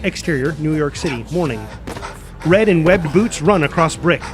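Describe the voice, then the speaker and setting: Higher-pitched man narrating a screenplay. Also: normal speed, over music. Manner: dramatic, with vocal sound effects. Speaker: narrator reading stage directions.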